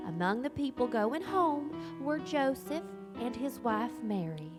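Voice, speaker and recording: A woman as a high-voiced, prim schoolteacher. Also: big pitch swings, a little slow, over loud music. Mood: storytelling. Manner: formal, fussy, careful announcing. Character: prim, flustered middle-aged spinster schoolteacher. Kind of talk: live comic stage drama.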